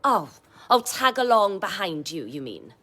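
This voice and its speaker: Expressive, tender-hearted young woman. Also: mid-range voice, measured pace, exaggerated voice. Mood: exasperated. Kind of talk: radio drama acting.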